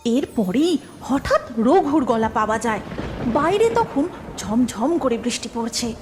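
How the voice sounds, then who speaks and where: A woman's voice: fairly high pitch, very animated, measured pace, music in the background. A woman narrating a scripted cartoon story.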